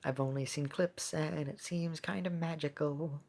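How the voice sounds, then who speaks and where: A woman's voice: deep voice, steady tone, moderate pace, gravelly, a little mellow. A woman giving casual, rambling gameplay commentary as a video host, with playful wordplay.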